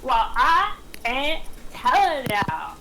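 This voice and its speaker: A woman's voice: high-pitched, big pitch swings, very slow, sharp tone, slight background noise, full character voice. A woman playing a defiant diner waitress held at gunpoint, in an amateur table reading.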